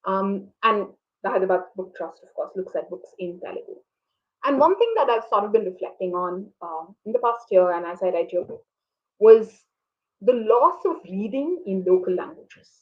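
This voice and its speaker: A woman's voice: medium pitch, expressive, normal speed. Reflective woman on a muffled call. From India. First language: Tamil. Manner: reflective, many ums and restarts. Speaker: moderator. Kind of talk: online book conversation. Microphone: video call. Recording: dull lo-fi video call audio.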